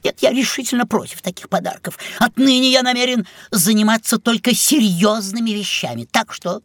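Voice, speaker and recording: An actor as grumpy, pompous old talking pet, husky. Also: mid-range voice, normal speed, a bit bright, no room sound, lightly acted. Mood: pompous, fretful. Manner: pompous, theatrical, fussy. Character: grumpy two-hundred-year-old male talking pet, pompous, secretly playful. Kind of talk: children's audio play acting.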